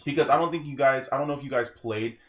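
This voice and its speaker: A man's voice: medium pitch, fast. A young man hosting a gaming podcast, talking casually and giving his opinions in rambling stories with acted-out asides. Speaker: podcast host.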